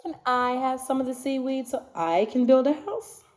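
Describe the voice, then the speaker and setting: A woman's voice: high voice, normal speed, darkish tone, pitched above the performer's own voice, natural voice. A woman voicing a small, plucky young fish in a storybook, with emphatic sing-song defiance. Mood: eager. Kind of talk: storybook read-aloud.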